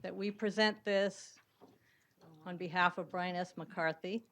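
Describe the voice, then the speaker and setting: A woman presiding at a city board meeting, giving gentle, halting ceremonial remarks in a council chamber. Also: fairly low pitch, fairly even intonation.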